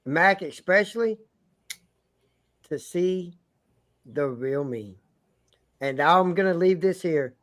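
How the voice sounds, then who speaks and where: A man on a sports podcast teases the others with a dramatic air. His voice is bright and a little high. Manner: teasing, dramatic. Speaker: podcast guest. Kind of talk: casual sports podcast chat.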